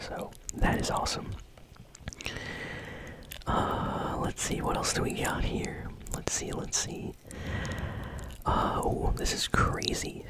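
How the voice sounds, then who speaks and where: An ASMR host speaks entirely in a breathy whisper. The delivery is casual and chatty, like someone sharing gaming news with friends in a hushed, unvoiced voice. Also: extremely high pitch, low-quality recording.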